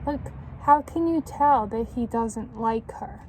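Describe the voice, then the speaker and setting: A woman tutor reading a children's book aloud in an instructive way, pausing to put questions to young listeners. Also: a little high, warm tone, slight background noise, low-quality recording.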